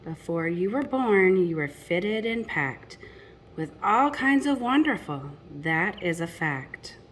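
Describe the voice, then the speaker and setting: A woman's voice: fairly low pitch, lively intonation, slow pace, slight background noise. A woman reading a picture book aloud to children expressively, addressing young listeners directly with chatty asides. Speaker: storybook reader.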